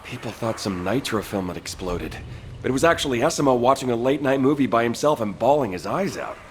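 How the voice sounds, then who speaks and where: A man playing a sardonic, scheming, excitable squad mate in a video game, wry and animated. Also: mid-range voice, quick pace, a little hiss or hum, close to an everyday voice. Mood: wry worry.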